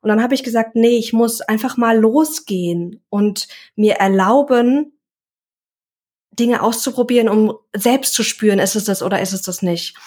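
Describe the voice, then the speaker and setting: A woman's voice: a little high, normal speed, darkish tone. Reflective young woman in an interview. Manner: reflective, searching for words. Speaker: guest, self-employed coach. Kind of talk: casual interview talk.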